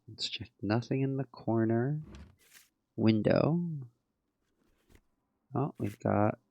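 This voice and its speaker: A hoarse man casually thinking aloud and tallying numbers while commenting on his game. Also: mid-range voice, warm tone, dry recording, dull audio. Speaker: game player. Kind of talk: let's play commentary.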